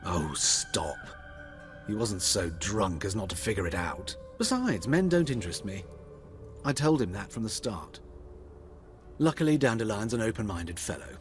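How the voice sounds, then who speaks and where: Flamboyant, theatrical male tailor, swooping pitch. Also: sing-song, normal speed, faint music behind. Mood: amused, frank. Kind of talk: video game dialogue acting.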